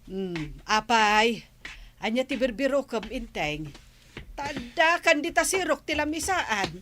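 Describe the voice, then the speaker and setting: A woman's voice: a little high, lively intonation, slow, fairly bright tone, a little hiss or hum. A woman with an Ilocano accent playing an exasperated, scolding member of a household in an audio drama.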